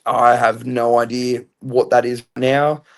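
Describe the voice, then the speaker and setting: A young man with a loud, mid-pitched voice talks as a guest on a podcast. He is upbeat and chatty and often starts with "I feel like.". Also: level tone, slow pace, gravelly. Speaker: reality show contestant, guest. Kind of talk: casual podcast interview.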